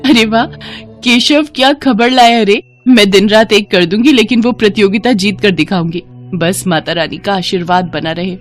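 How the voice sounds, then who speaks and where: A woman's voice: medium pitch, normal speed, faint music behind, close to an everyday voice. A woman voicing a devout, earnest, hardworking eatery owner in an emotional storybook manner. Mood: excited, determined.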